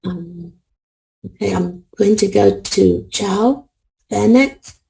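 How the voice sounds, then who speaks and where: A woman's voice: mid-range voice, slow pace, vocal fry, warm tone, low-quality recording. A woman professor leading an online class, calling on each participant and taking care to pronounce their names. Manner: careful with names, checks pronunciation. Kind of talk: calling on participants in a video meeting. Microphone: video call.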